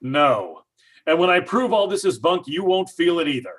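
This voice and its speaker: A man's voice: fairly high pitch, big pitch swings, normal speed, a bit bright, breathy edge, heavily acted. A man playing a blustering skeptic father. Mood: scornful. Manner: blustering and scornful. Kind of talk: old time radio drama acting.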